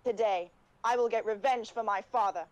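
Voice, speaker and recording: A woman acting a high, proud young warrior woman. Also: moderate pace, lightly acted. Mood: proud, fierce. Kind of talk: character voice acting audition.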